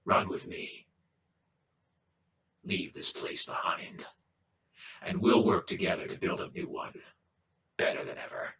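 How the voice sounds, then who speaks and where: A man voicing a calm, persuasive figure offering a weighty choice in a game cutscene, speaking in measured, hushed phrases. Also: low-pitched. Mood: persuasive, grave. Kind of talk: slow, dramatic game cutscene dialogue. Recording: distant, roomy, faint background noise.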